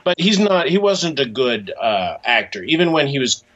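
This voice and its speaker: A man speaking as an author being interviewed, in a halting, thoughtful, conversational way. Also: medium pitch, very animated, a bit bright. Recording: dull, muffled lo-fi audio.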